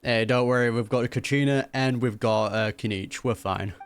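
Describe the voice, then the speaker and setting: A woman's voice: extremely low pitch, moderate pace, clear, resonant tone, dry recording. A woman streamer giving casual, slangy, teasing reaction commentary over a video game. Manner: laughs often, teasing, slangy. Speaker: gaming streamer reacting to a story quest.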